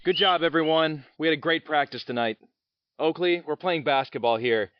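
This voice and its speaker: A man's voice: on the high side, normal speed, dry recording, muffled recording, close to an everyday voice. A man playing a stern basketball coach who barks orders at his players.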